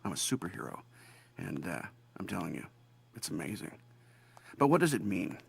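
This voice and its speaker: A man's voice: sits low, sing-song, moderate pace, creaky, slightly rough, no echo, heavily acted. A man playing a glib billionaire superhero showman in a video game, speaking in a boastful, wry, conversational way. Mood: boastful, musing. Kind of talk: scripted speech in a game cutscene.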